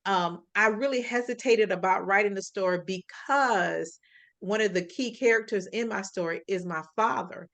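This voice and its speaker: Lively woman hosting a chat. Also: mid-range voice, moderate pace. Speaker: host. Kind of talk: casual interview chat.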